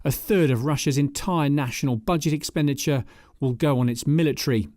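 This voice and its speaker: A man reading a scripted news voice-over in a measured, formal way. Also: on the high side, darkish tone, no room sound, clean studio sound. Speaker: news reporter.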